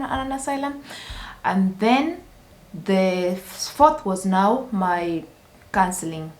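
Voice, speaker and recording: A woman's voice: medium pitch, a little mellow. A woman from Kenya sharing a personal story as a vlogger, earnest and careful, with many restarts. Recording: roomy echo.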